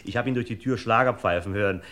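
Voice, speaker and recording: An actor playing a chatty FBI subordinate in a German radio crime drama, brisk and colloquial, on a noisy recording. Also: male voice, fairly low pitch, a bit bright, lightly acted.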